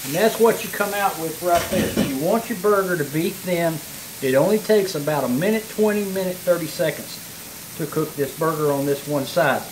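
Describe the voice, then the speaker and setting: A man's voice: on the high side, normal speed, noisy background. A middle-aged man, chatting folksily while demonstrating a recipe in his home kitchen. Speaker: home cooking show host. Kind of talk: casual cooking demonstration. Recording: sizzling frying pan behind.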